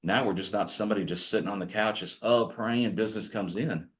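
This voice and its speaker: A man's voice: fairly low pitch, brisk, a bit bright, a little hiss or hum. A man with a growly voice, giving a folksy, rambling training talk to a group of real estate agents. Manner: folksy, rambling, self-deprecating. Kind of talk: casual workshop talk to a group.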